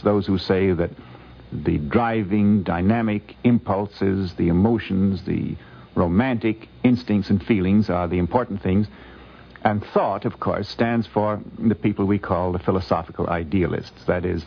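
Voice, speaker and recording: A man giving considered, explanatory commentary as a guest scholar, lecturing deliberately with emphatic phrasing, on a vintage radio recording. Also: low voice, creaky, lo-fi sound.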